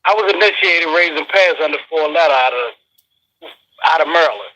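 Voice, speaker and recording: A man's voice: a little high, normal speed, sharp tone, low-quality recording. A man taking part as a guest in a casual discussion, answering briefly and pushing back with questions.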